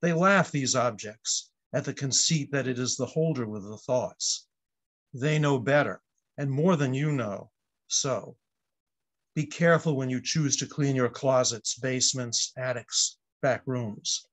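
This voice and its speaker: An older man in about his seventies reading his poem aloud over a video call, with measured, reflective phrasing. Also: mid-range voice. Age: older, about 70s. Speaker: poet at an open mic. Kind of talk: poetry reading.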